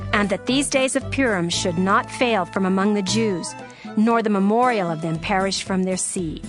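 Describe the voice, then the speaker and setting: Woman narrating scripture over soft music. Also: medium pitch. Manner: steady, formal reading.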